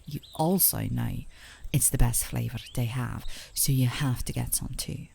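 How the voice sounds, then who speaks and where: A woman playing an affectionate, teasing girlfriend in an intimate ASMR roleplay, speaking softly and soothingly, often in a whisper, close to the microphone. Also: a bass voice, a little slow, full character voice. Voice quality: soft, breathy, often whispering. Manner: soothing, playfully coaxing, with small chuckles. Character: gently coaxing, teasing, affectionate girlfriend on a park date.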